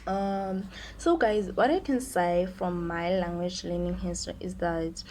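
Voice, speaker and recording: A young woman of eighteen speaks English with a Xhosa accent, since Xhosa is her first language. Her voice is mellow and warm, and she moves steadily through a list of prepared questions. Faint background noise is audible. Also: on the low side, measured pace. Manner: steady, answers each question in turn. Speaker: student teacher. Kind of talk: reading prepared answers to questions.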